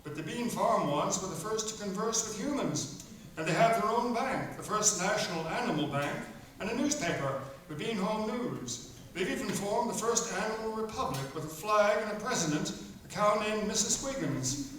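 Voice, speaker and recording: A man's voice: high-pitched, animated, normal speed, fairly bright tone. A man playing a genial author who narrates his animal stories in a scripted radio play. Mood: genial explaining. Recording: echoey hall, distant, faint noise.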